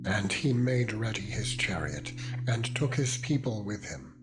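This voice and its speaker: A man reading scripture as a solemn, dramatized narration, with deliberate, weighty phrasing. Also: lowish voice, animated. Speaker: Bible narrator. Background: music.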